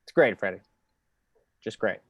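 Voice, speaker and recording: A man's voice: moderate pace, a bit bright, no echo, natural voice. A man playing a weary, sardonic FBI investigator in a screenplay table read. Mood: sarcastic. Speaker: amateur actor in an online table read. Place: remote video call.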